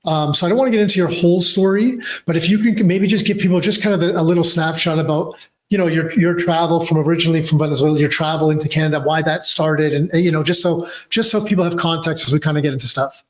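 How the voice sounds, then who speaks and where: A man's voice: on the high side, steady tone, fast. A man hosting a podcast, opening an interview in a friendly, loose and rambling way. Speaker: podcast host. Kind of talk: casual interview talk.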